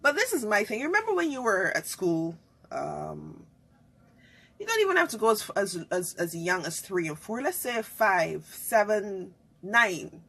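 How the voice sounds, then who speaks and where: A woman's voice: mid-range voice, sing-song, unhurried, creaky, a bit bright, breathy edge. A woman who hosts a live online talk show. She chats casually, greets viewers and laughs often.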